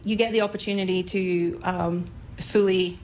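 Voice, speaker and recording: A woman's voice: on the low side, fairly even intonation, normal speed, a little mellow, low-quality recording. Scottish woman interviewee over background noise. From Scotland. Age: 30s. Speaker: police forensic officer. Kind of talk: informal interview.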